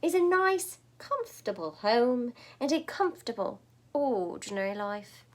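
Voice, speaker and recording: Woman voicing an excitable high-pitched storybook pig. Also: measured pace. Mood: wistful. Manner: exclamatory, dramatic. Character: earnest, dreamy male pig who turns panicky.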